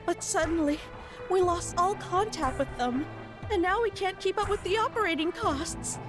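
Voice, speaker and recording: Earnest game fangirl, super high voice, music in the background. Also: moderate pace. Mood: distressed. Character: earnest young female fan club member in a video game. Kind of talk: video game voice acting.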